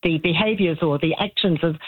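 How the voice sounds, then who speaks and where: A man's voice: on the high side, fairly quick, no room sound. Australian man calling in on phone. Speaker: caller. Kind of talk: phone-in call. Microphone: telephone. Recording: tinny phone line.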